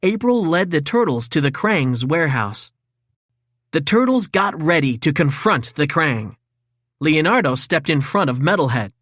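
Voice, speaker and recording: A woman reading a children's story in an expressive, dramatic read-aloud style. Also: sits low, a little slow, no room sound, studio quality. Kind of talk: scripted storybook narration for children.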